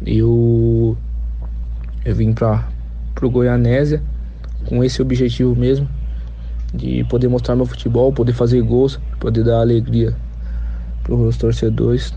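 Young man, footballer, interview answers, gravelly, very dark tone, muffled lo-fi sound. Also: medium pitch, level tone, slow, long pauses. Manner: hesitant, repeats words while thinking. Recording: muffled lo-fi recording with faint noise.

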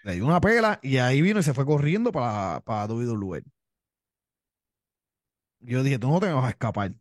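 A man's voice: medium pitch, big pitch swings, normal speed, dry recording, clean studio sound. A man with a Cuban accent, a wrestler telling his story in an interview, boastful and tough, often repeating himself. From Cuba. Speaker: pro wrestler guest.

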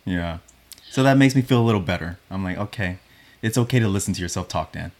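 A man hosting a podcast, chatting casually in a relaxed, self-deprecating, soft-spoken way. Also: sits low, fast talker. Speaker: podcast host. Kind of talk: casual chat.

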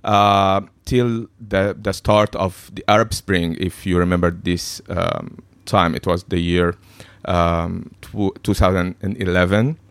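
Man from Egypt, thirties, lowish voice, halting with fillers, dry close recording. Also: fairly even intonation, smooth, dry recording. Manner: hesitant, many fillers and restarts. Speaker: podcast guest. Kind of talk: conversational interview.

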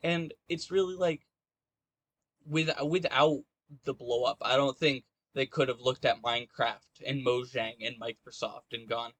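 A man with a mid-pitched voice talks casually as a podcast co-host, recorded close and dry. He gives opinions in a rambling, halting flow, peppered with "like". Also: fairly even intonation, fairly bright tone, no echo. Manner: rambling, halting delivery with frequent "like".

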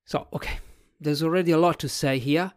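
Writing vlogger, mid pitch, dry close sound, musing and word-searching. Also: steady tone, fairly quick, no room sound. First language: Italian. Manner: thinks aloud, searching for words. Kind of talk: casual explanatory talk about a book.

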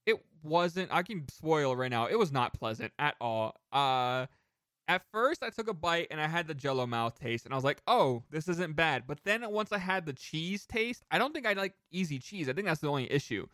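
A man with a fairly high voice chats as a podcast co-host in a very dry recording with hardly any room sound. He tells anecdotes in a rambling, animated way and drops casual swear words. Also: normal speed, no room sound.

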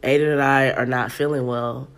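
A woman's voice: a bass voice, steady tone, normal speed. A woman in her thirties hosting a podcast from home, chatting loosely with listeners and reading aloud, her voice stuffy and congested. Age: 30s. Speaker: podcast host. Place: at home in an apartment.

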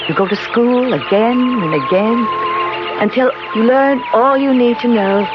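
An actress as serene, slow-spoken Lady Death. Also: fairly high pitch, close to an everyday voice. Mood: patient, instructing. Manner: measured, gently authoritative, patient. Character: Death as a serene, gentle, knowing beautiful woman. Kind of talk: 1970s radio drama acting. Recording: dull 1970s radio recording with music.

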